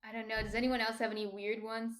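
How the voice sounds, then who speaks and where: Soft-spoken woman with a New Jersey accent. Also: fairly high pitch, little pitch movement, quick pace. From New Jersey, USA. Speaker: streamer. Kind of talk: casual chat reading viewer comments.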